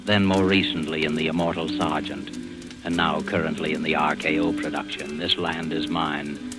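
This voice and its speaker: Deep-voiced, ominous thriller host. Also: male voice, level tone, loud music behind the voice. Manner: measured, theatrical, ominous. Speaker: narrator and host. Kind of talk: 1940s radio announcing, scripted narration.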